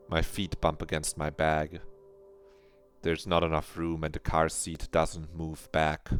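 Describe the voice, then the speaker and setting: A streamer with a very low voice reads a visual novel's narration aloud. His pace is unhurried and his tone earnest and reflective. The voice is smooth and clear. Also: a bit bright, dry recording.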